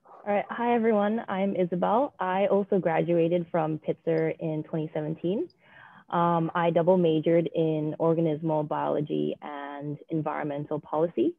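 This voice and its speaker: A woman in her twenties from Hong Kong, introducing herself on a video call in a relaxed, steady way with frequent ums. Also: sits low, normal speed, creaky, no room sound. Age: 20s. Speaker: panelist. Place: small room indoors. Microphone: earbuds on a video call. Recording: tinny, phone-like call audio.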